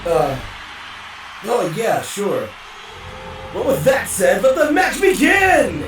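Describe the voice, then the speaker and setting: A man's voice: sits high, big pitch swings, slow, reverberant, shouting, distant mic, faint music behind, full character voice, rough recording. A man playing a showy match announcer in a visual novel. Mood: announcing. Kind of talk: acted visual novel dialogue.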